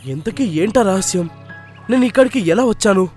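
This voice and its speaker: A brave young lion in a children's cartoon. The voice is high for a male, questioning and bold. Light music plays underneath. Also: lively intonation, a little fast, a little mellow. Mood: puzzled, questioning.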